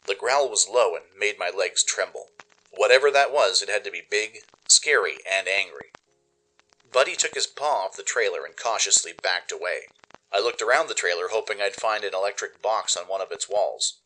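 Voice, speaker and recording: A man giving expressive, dramatic first-person narration for a radio play. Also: lowish voice, normal speed, low-quality recording. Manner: expressive storytelling. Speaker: narrator and producer.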